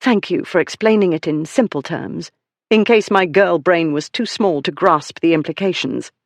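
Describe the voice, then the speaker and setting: A man voicing a high, stubborn genteel lady. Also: normal speed, no echo. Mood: tart. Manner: insistent, crisp, defiant. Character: spirited, stubborn, sharp-tongued genteel lady.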